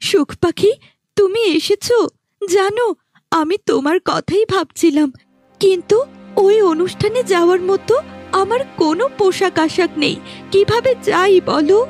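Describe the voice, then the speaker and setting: A woman voicing a gentle, mistreated young village stepdaughter in a cartoon, earnest and plaintive. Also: extremely high pitch, moderate pace, soft background music. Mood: hopeful. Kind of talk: cartoon voice acting.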